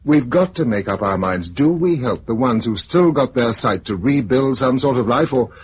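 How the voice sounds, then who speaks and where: An actor playing a pragmatic, level-headed male survivor and narrator in a 1950s radio drama, with a British accent and a measured, clipped delivery. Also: medium pitch, expressive, natural voice. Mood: firm, reasoning. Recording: muffled, noisy vintage radio recording.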